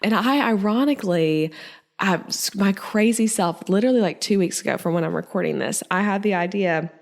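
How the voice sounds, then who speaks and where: A woman's voice: mid-range voice, brisk, creaky. A woman in her thirties hosting her podcast in casual solo talk, chatty and upbeat with lots of "like"s, her voice a little congested from a cold. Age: 30s. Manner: chatty and upbeat, filler-heavy with many "like"s. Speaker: podcast host.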